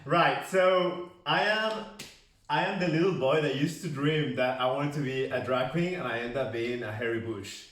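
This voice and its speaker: A man's voice: mid-range voice, moderate pace, a bit bright. A man from the Basque Country with a Spanish accent, co-hosting a casual chat, playful and giggly, with hesitant fillers. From Basque Country, Spain. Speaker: podcast co-host. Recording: roomy, mic at a distance.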